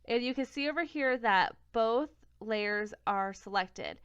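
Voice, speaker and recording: A young woman with a blocked-up, congested voice explains craft software one click at a time. Her tone sits fairly high and smooth, and she is recorded close and dry. The home recording sounds a little muffled and lo-fi. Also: a little slow, dry recording. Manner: step-by-step, self-correcting, plain and friendly. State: congested from a cold. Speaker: craft tutorial host. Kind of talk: screen-recorded software tutorial.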